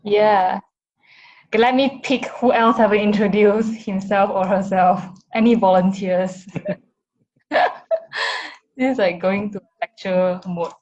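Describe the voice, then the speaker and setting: A woman's voice: mid-range voice, steady tone, a little slow, darkish tone, highs cut off. A woman moderating an online design talk over a video call, chatty, laughing often and using many fillers.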